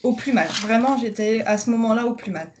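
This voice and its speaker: A woman's voice: on the high side, fairly quick, darkish tone, muffled recording, a little hiss or hum. A woman in her thirties from northern France, hesitantly recounting her personal journey with many fillers, over a video call. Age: 30s. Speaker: coaching client giving a testimonial. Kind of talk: conversational interview.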